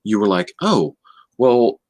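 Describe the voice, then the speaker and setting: A man talking casually in a book discussion, often halting and searching for the right words. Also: mid-range voice, big pitch swings, a little mellow, dry recording.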